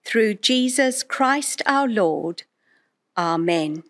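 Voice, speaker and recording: A middle-aged woman reading scripture and prayers aloud in a measured, solemn way. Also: on the high side, animated, fairly bright tone. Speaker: prayer leader in church service. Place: indoors in a chapel, at a lectern. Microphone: gooseneck lectern microphone.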